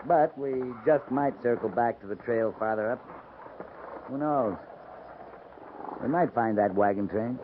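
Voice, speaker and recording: A man's voice: mid-range voice, unhurried, long pauses, natural voice. An American man playing a stern, dryly sardonic US cavalry captain in a 1950s radio western, with clipped authority. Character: commanding male US cavalry captain, stern and dry. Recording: tinny, noisy 1950s radio recording.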